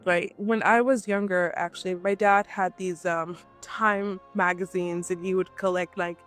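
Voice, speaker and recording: A woman's voice: fairly low pitch, steady tone, a little slow, faint music behind. A woman from Nigeria, a guest entrepreneur, talking animatedly and emphatically in a casual interview, often checking in with "right?".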